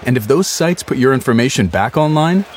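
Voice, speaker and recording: A man reading a scripted commercial in a measured, informative way. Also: medium pitch, lively intonation, slight background noise. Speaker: ad announcer. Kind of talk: scripted ad read. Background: music.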